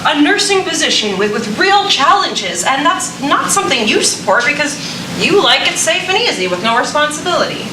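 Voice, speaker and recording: A woman's voice: high-pitched, very animated, a little fast. A woman playing a direct, ambitious young nurse in a staged reading, recorded at a distance in a room. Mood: earnest, probing. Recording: distant roomy stage recording, loud noise.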